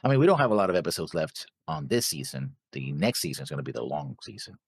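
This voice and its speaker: A man's voice: low-pitched, sing-song, fast talker, gravelly, husky, no room sound, studio quality. A man co-hosting a reaction show, casually working through theories, insistent but often searching for words. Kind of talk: casual theorizing about an animated series.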